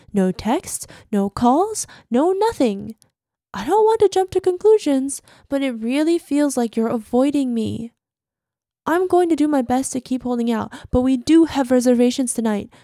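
A woman's voice: high voice, mellow, dry recording, studio quality. A woman playing an anxious, clingy girlfriend with a possessive, menacing streak in an ASMR voicemail roleplay, using sugary endearments. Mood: frustrated, pleading. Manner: sugary pet names, hesitant pleading sliding into controlled threat.

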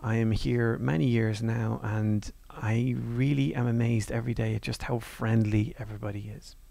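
A male lecturer talks through his slides in an easy, conversational way, pausing on fillers and restarting sentences. His voice is heavily gravelly with a lot of fry. It was recorded very dry and close, with no room sound. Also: mid-range voice, fairly even intonation, dark tone, dry recording. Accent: non-Northern Irish. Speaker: university course lecturer. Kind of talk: presenting over slides.

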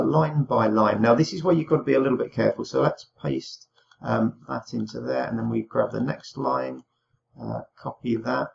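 A young man, slightly hoarse from a cold, talking through a screen-share tutorial in a relaxed way with many ums and uhs. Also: medium pitch. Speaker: crypto tutorial host. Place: small room indoors. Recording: dull lo-fi home recording.

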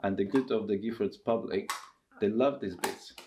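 A man in his thirties with a Russian accent, talking casually in halting English during a seated interview in a costume workshop. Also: lowish voice, noisy background. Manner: halting non-native English with restarts. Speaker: circus performer and tent master.

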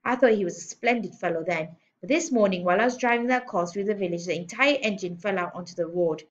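Storybook bully headmistress, acted by a woman reader: loud, scolding, sneering, domineering; slightly dull recording. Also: mid-range voice, fast talker, a little hiss or hum, close to an everyday voice. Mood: menacing, indignant. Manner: barking, scolding, sneering insults. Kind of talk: children's storybook read-aloud.

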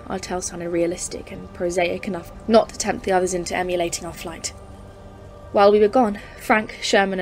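A woman's voice: mid-range voice, a little mellow, lightly acted. A woman playing a grave, awed professor in an audio play, speaking formally and intensely as a literary horror narrator. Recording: music under the voice. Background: dramatic music.

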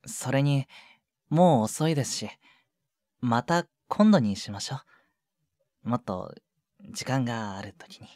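A man playing a shy junior boyfriend in an intimate ASMR voice drama, speaking softly and hesitantly with breathy murmurs and casual politeness. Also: medium pitch, animated, a little slow, pauses often, dry recording, clean studio sound, natural voice. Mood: gentle, reluctant. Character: shy younger junior boyfriend, awkward at showing affection. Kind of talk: intimate ASMR situation voice drama, one side of a phone call. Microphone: close, dry microphone.

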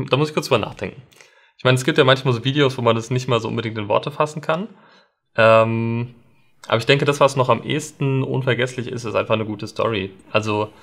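A young man speaks as a guest on a casual podcast, seated at a desk in a studio set. His voice sits at a middle pitch, and he talks in a thoughtful, hesitant way, filling his pauses with ums. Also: vocal fry, a bit bright. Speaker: guest, videographer. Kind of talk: casual interview chat.

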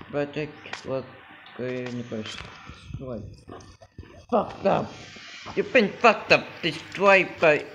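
Guy with a gravelly voice mumbling n cursing slowly over a game, noisy recording. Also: a little high. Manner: swearing, mumbled and halting. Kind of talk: muttering game commentary.

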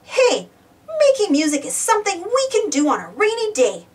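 A woman's voice: very high-pitched, huge pitch swings, moderate pace, distant mic. A woman reading a children's storybook aloud, exclaiming and putting questions to young listeners. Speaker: storybook reader. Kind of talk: animated children's read-aloud.

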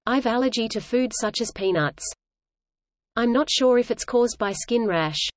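Higher-voiced woman reading lesson lines. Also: dry recording. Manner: measured, even delivery, each line repeated. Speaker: English lesson reader. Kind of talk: scripted lesson dialogue read aloud.

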